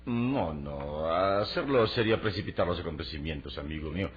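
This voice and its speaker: A very deep, commanding adventure hero. His delivery is formal and emphatic, swinging widely in pitch. It sounds like a muffled, narrow old radio serial. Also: expressive, normal speed. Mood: resolute. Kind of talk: Latin American radio serial acting.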